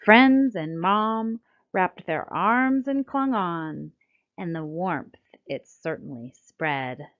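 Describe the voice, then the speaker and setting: A middle-aged American woman reads a scripted text aloud as a children's author, in a deliberate, expressive storytelling manner. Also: lowish voice. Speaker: children's book author reading her own book. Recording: dull, lo-fi sound.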